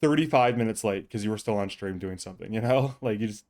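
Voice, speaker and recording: A man's voice: lowish voice, quick pace, darkish tone. A man streaming a game and chatting casually with teammates, rambling and swearing freely. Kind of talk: casual gaming chat.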